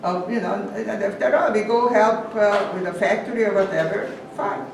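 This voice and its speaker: An older woman from Hungary with a Hungarian accent, giving a measured first-person testimony talk to students and pausing to restart phrases. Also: medium pitch, gravelly. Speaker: guest speaker. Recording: echoey hall, distant mic, faint hiss.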